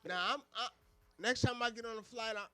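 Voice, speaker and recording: A man's voice: extremely high pitch, a little fast, music in the background. A man co-hosting a live talk show with casual, slangy banter, often interjecting and repeating himself. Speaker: radio show co-host.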